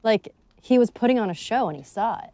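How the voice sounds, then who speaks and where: A woman performer giving casual, reflective commentary, expressive and acting out her reactions. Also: fairly high pitch, fairly quick.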